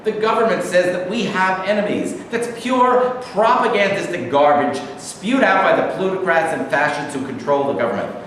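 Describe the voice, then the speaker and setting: A man's voice: sits high, moderate pace, hardly any pauses, a bit bright. A man playing a fervent socialist agitator in a staged reading, declaiming in slogans like an orator. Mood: indignant. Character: fervent male socialist agitator rallying the working class. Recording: echoey hall, distant mic, faint noise.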